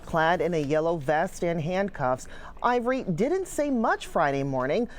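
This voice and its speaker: A woman's voice: lowish voice, sing-song, a little slow, slight background noise. An American woman giving a scripted live news report from a newsroom.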